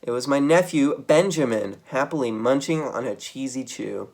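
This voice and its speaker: A man reading a children's book aloud as a storytime reader, with dramatic, expressive delivery. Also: mid-range voice, moderate pace, creaky.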